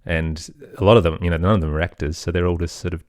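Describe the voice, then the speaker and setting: A man's voice: deep voice, steady tone, quick pace, darkish tone, dry recording, clean studio sound. A man with an Australian accent, chatting casually as an interview guest about his work. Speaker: interview guest, children's podcast creator.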